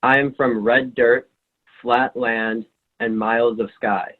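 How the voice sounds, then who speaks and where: A man reciting lines of a group poem in a steady, earnest way. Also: mid-range voice, slow, phone-quality sound.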